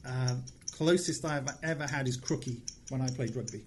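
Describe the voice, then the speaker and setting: A man's voice: medium pitch, normal speed, a little hiss or hum. A man chatting casually as a podcast guest, with a playful, dry sense of humour. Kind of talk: casual interview chat.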